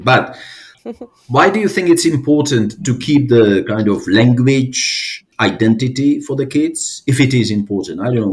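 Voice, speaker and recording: A man's voice: mid-range voice, darkish tone. A man interviewing two guests, asking casual, probing questions. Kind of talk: interview conversation.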